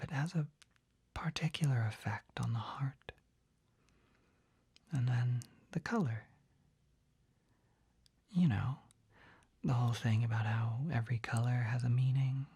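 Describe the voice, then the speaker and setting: A man as a breathy, whispering shy young shopkeeper. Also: mid-range voice, dry recording, full character voice. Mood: earnest, nerdy. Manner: hesitant, gentle, soft-spoken. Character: shy, skittish, kindly young male potion shopkeeper. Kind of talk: whispered ASMR roleplay. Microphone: close ASMR microphone.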